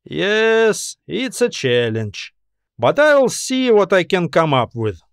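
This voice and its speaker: A man voicing an old scientist in an audiobook, calm, thoughtful and courteous, explaining things with wry amusement. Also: high-pitched, takes it slowly, clean tone, dry recording, studio quality, pitched above the performer's own voice, full character voice. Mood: courteous, composed. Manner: thoughtful, philosophizing, gently explanatory. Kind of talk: audiobook character dialogue.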